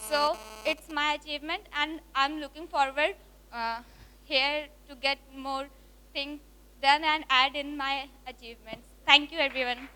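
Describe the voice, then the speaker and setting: Hesitant young Indian woman, bright. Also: sits high, fairly even intonation, a little slow, a little hiss or hum, poor audio. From Lucknow, India. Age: young adult. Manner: hesitant, with fillers and self-corrections. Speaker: new student. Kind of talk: self-introduction.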